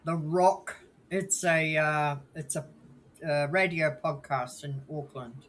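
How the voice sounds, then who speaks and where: A woman's voice: low-pitched, steady tone, slow pace, reverberant, a little hiss or hum. Laid-back New Zealand woman, mixed accent. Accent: mixed Scottish, Australian and New Zealand. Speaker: podcast co-host. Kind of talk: casual live podcast chat.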